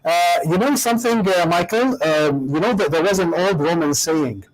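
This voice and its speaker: Emphatic guest, man, slightly high voice, repeats words when starting. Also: moderate pace, rough recording. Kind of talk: conversational argument.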